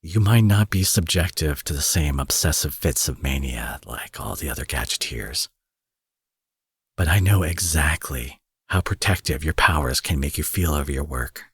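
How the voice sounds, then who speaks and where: A man's voice: a bass voice, expressive, moderate pace, slightly rough, no room sound, heavily acted. A man playing an angry, protective supervillain in an intimate audio roleplay, menacing yet tender, speaking emphatically with swearing and pet names. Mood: tender, concerned.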